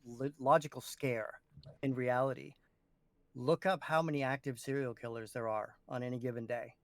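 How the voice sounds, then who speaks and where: A man's voice: medium pitch, fairly even intonation, normal speed. A man co-hosting a casual chat, bantering and speaking with emphasis. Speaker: podcast co-host.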